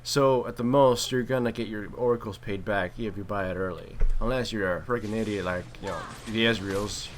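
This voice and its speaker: A man's voice: fairly low pitch, brisk, hardly any pauses. A man giving casual, wry game commentary with some mild swearing and trash talk. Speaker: gaming video commentator and player. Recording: clearly noisy home recording.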